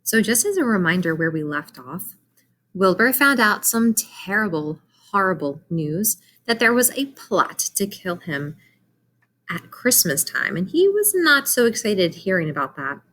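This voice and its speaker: A woman doing an expressive read-aloud for children, speaking directly to her young listeners in an animated way. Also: medium pitch, measured pace, slight background noise.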